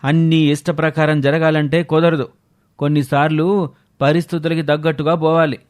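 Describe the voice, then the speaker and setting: A gruff, scolding father from a village played by a man in a storybook drama. He is curt and dismissive, in a slightly high male voice. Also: flat delivery, normal speed, lightly acted. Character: stern, scolding village father favouring his son. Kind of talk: dramatised moral story acting.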